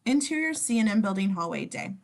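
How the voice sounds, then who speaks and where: A woman's voice: mid-range voice, big pitch swings, fairly quick. A woman reading scene descriptions in a casual amateur script read-through, as the narrator.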